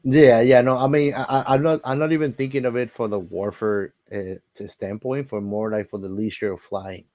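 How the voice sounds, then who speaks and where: A man hosting a casual movie discussion, haltingly thinking aloud and repeating words as he goes. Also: mid-range voice, little pitch movement, a little mellow. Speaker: podcast host.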